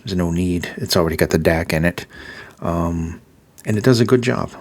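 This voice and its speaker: A man with a Massachusetts accent giving a casual, rambling gear review, filling pauses with ums and uhs and repeating his praise. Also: low-pitched. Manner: filler-heavy, repeats praise words.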